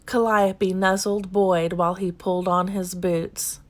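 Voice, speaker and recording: A woman reading a children's storybook aloud in an expressive, deliberate storytelling manner. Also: fairly low pitch. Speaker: children's book reader. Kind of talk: expressive scripted storybook narration.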